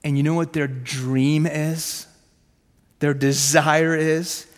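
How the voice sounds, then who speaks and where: A man in his thirties whose first language is Farsi, preaching a sermon from his own story in a punchy, conversational way, with quick rhetorical questions and self-mocking jokes. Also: on the high side, level tone. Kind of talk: sermon with personal testimony. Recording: long hall reverb.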